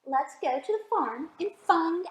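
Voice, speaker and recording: A woman's voice: very high voice, lively intonation, measured pace, roomy sound, pitched above the performer's own voice. A woman voicing an eager, curious young penguin, a kindly big brother in a picture book.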